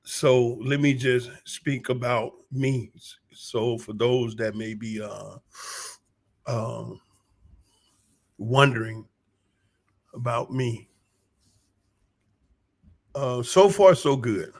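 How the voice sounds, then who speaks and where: An American man gives a casual personal update in a hoarse voice. He speaks slowly, at a middling pitch, pausing and circling back as he goes. His delivery is folksy and unhurried, like someone explaining things to followers from home. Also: steady tone. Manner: halting, unhurried, folksy storytelling. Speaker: vlogger giving a personal update. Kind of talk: casual monologue to viewers. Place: small room at home, a bomb shelter used as studio.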